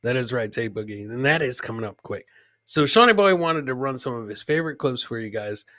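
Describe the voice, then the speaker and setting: Bright, punchy male podcast host. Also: medium pitch, lively intonation, a little fast, no echo, clean studio sound. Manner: repeats phrases for emphasis. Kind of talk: casual live comedy clip commentary.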